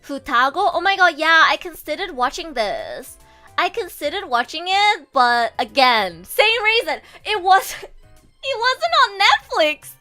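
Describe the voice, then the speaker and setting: A woman's voice: extremely high pitch, unhurried, faint music behind. Chatty woman streamer with a sore throat. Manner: chatty, animated, casually swearing, addresses chat. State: sore throat, coming down with a cold. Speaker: VTuber streamer and voice actor. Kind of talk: casual livestream chat.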